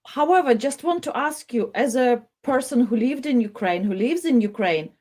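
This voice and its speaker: A woman hosting a news program and interviewing a guest. She speaks haltingly, with frequent hesitations and restarts. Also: fairly high pitch, expressive, darkish tone. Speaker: news host.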